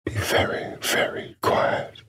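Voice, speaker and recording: An actor playing a sly, flamboyant, sardonic vampire rogue in a video game, with a British accent and an arch, camp, teasing drawl. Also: male voice, slow, bright, forceful tone, no room sound, whispered, clean studio sound. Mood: whispered caution. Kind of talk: theatrical video game character acting.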